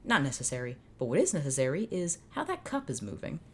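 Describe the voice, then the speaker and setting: A woman voicing a blunt, aloof teenage boy who answers in flat, curt replies. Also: deep voice, quick pace, a little hiss or hum, full character voice.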